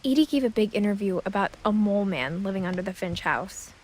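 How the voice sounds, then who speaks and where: A woman's voice: medium pitch, expressive, quick pace, a little hiss or hum, lightly acted. A woman voicing a wistful young narrator. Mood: reflective. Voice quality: soft, reflective, often drops to a whisper. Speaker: game narrator. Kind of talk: scripted narration. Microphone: studio voice-over.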